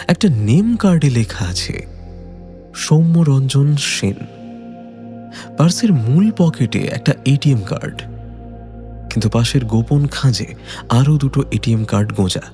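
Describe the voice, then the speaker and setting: Guy narrating a mystery story, mellow voice, goes up and down a lot, music under it. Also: mid-range voice. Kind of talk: dramatic scripted detective story narration.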